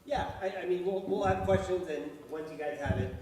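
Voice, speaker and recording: A man with a slightly high voice talks quickly and restarts his sentences as he asks for follow-up. He is off the mic in an echoey hall with a long reverb tail. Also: darkish tone, recorded from a distance, slight background noise. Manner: rushed, restarts often. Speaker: local group member questioning a speaker. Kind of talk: public meeting Q&A. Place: school meeting hall.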